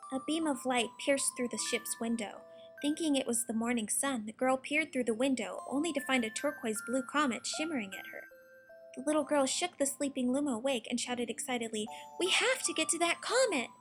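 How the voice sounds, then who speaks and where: A woman's voice: high voice, a little fast. A woman reading a scripted children's storybook as its narrator. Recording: music behind the narration. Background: music.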